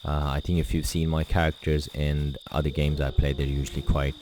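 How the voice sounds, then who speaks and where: A man's voice: very deep voice, little pitch movement, brisk, noisy background. A man from Pakistan whose first language is Urdu, living in England, chatting casually with many fillers while playing a game on a poor home mic. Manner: rambling, self-deprecating, many fillers. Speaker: gaming channel host. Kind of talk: casual let's play commentary. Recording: loud game music behind.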